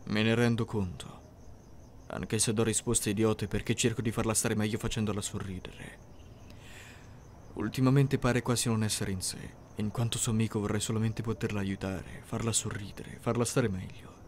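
A man playing a reserved, caring teenage boy in an anime fan ASMR roleplay, speaking softly, measuredly and sincerely. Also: on the low side, fairly even intonation, moderate pace, close to an everyday voice. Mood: earnest, concerned. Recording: clearly noisy, ambient background sound.

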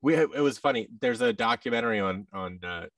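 Animated man, a little off-mic. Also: mid-range voice, brisk, dry recording, clean studio sound, recorded from a distance. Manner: animated, acts out quoted exchanges. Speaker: podcast guest, audio drama creator. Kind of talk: casual interview chat. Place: indoor room, video call. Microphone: boom-arm microphone with headset.

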